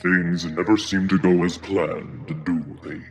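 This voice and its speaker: A deep-voiced otherworldly male guide, acted by a man, solemn and all-knowing. He speaks slowly and formally, with calm reassurance. Faint music plays behind him in this drama. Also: lo-fi sound.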